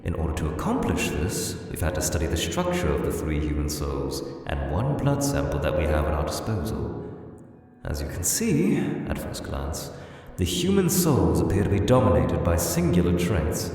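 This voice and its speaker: A low-voiced, stern old-school skeleton scientist acted by a man lectures formally and precisely in a comic dub. He sounds weary and exasperated, with wide swings of intonation. Heavy hall reverb puts him at a distance, with music behind. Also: huge pitch swings, normal speed, darkish tone, full character voice. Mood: thoughtful, explanatory. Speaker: voice actor in a fan comic dub. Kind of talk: dramatic comic dub acting.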